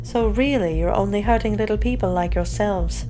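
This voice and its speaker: An actress playing a cool, complacent vampire aristocrat in an audio drama. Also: female voice, medium pitch, moderate pace, mellow, faint music behind, natural voice, poor audio.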